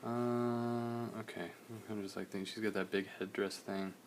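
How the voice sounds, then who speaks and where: A young man, slightly hoarse, chatting casually in a relaxed, rambling way while he streams a drawing session. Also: lowish voice, moderate pace, slight background noise. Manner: relaxed, rambling, many fillers.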